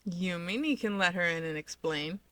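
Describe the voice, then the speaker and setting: Woman as sly middle brother in storybook fiction, young, practical, teasing, bright tone, no room sound. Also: fairly low pitch, moderate pace, no room sound, lightly acted.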